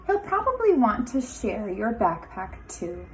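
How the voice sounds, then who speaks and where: A woman kindergarten teacher reading a picture book aloud to young children in an expressive, instructive way, pausing for asides. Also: on the high side, slight background noise, low-quality recording.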